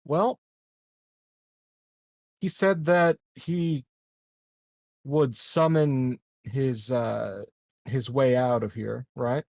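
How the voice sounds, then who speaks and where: Gravelly man chatting at a game table. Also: medium pitch, very slow, pauses often, a little mellow, no echo. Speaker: tabletop role-playing player. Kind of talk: casual in-character discussion.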